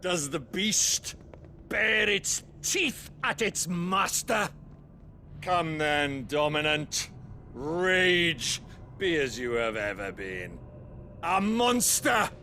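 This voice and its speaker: An actor playing a cruel, fanatical religious leader in a video game, preaching with pompous scorn. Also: male voice, fairly high pitch, lively intonation, very slow, bright, forceful tone, a little hiss or hum, exaggerated voice. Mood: taunting. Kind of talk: theatrical video game villain acting.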